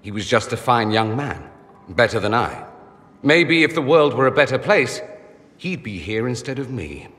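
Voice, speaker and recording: A man's voice: medium pitch, sing-song, normal speed, fairly bright tone, big-room sound. An actor playing a witty, vain, wounded nobleman mage in a fantasy game, articulate and theatrical. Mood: wistful. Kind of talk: dramatic fantasy game dialogue.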